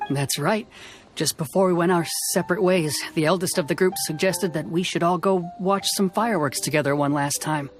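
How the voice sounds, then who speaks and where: A man's voice: fairly high pitch, fairly quick, faint music behind, lightly acted. Man playing a mild, wistful male merchant. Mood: easygoing. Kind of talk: game dialogue.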